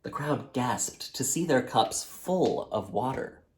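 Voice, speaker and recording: A man reading a storybook aloud as its author, in a dramatic, measured narrating style. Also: mid-range voice, lively intonation, a little hiss or hum.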